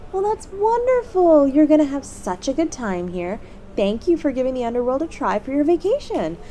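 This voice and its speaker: A cheery demon front-desk host, acted by a woman in an audio roleplay, speaking straight to the guest. The delivery swings up and down in pitch with bubbly, courteous sales polish. Faint ambient noise sits behind the voice. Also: very animated, moderate pace, dark tone. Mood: cheery, reassuring. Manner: bubbly, courteous customer-service patter. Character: cheery demon hotel receptionist, eager and polite, salesy. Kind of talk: scripted audio roleplay, addressing the listener as a guest.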